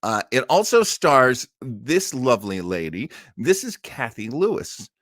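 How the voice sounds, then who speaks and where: A man's voice: medium pitch, big pitch swings, a little slow, a bit bright, dry recording, studio quality. A man hosting a casual, chatty livestream about old radio shows, rambling and easily sidetracked as he talks. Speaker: livestream host of an old-time radio listening show.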